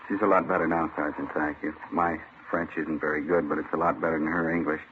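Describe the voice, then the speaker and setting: A man's voice: rumbling low, little pitch movement, quick pace. An actor playing a plain-spoken young American ex-soldier husband in an old radio drama, obliging and folksy as he relays information. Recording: muffled vintage radio with faint hiss.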